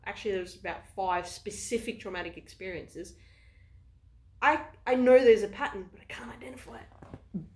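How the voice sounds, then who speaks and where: A woman's voice: mid-range voice, normal speed, darkish tone. A woman with an Afrikaans accent chatting casually as a host, rambling and restarting her thoughts as she goes. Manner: rambling, restarts often, thinks aloud. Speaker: podcast host. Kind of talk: casual chat. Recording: echoey room with faint noise.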